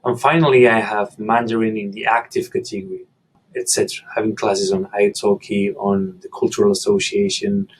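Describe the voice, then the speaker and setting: A man whose first language is Spanish, calmly explaining a language-learning method in a video lesson. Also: lowish voice, moderate pace, breathy edge, poor audio. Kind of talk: instructional monologue to camera.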